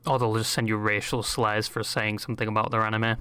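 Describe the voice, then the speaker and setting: A man's voice: lowish voice, level tone, fast, pushed and bright. A man reading scripted commentary as a video essayist, with a sardonic manner.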